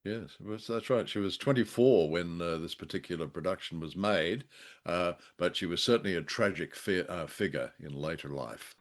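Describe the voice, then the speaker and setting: A man with a fairly low voice chats as a show co-host. He talks in a hesitant, searching way with a dry, wry sense of humour. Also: moderate pace. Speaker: podcast co-host. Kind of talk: conversational discussion of old radio drama.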